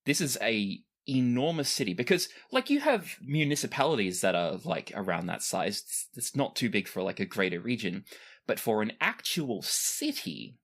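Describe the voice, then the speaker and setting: Expressive male game master. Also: medium pitch, moderate pace. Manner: explanatory, chatty asides. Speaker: game master of a tabletop role-playing podcast. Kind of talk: narrating a tabletop game, conversational.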